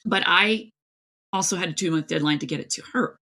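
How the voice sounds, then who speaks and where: Creaky-voiced woman chatting about her work. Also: on the low side, a little fast, sharp tone. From East Tennessee, United States. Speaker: author, interview guest. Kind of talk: casual, chatty interview talk.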